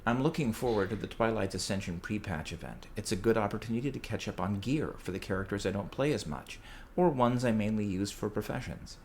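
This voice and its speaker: A man with a fairly low voice delivers a prepared report for a podcast. He is measured and orderly, reading as if from a script, with some background noise on the recording. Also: darkish tone. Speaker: listener sending in a recorded report.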